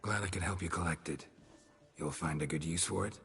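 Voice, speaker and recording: A man playing a gruff, dry-witted monster hunter in a video game, with terse, deadpan delivery and a gravelly voice. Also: sits low, a little fast, soft background music. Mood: calm, earnest.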